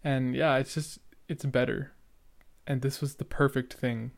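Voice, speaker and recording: A young man with a noticeable stutter talks through his thoughts in a casual, rambling way. He sounds earnest and leans on fillers as he comments on an old recording of himself. His voice sits at an ordinary pitch. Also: flat delivery, unhurried, creaky. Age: young adult. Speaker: podcaster reacting to his own episode.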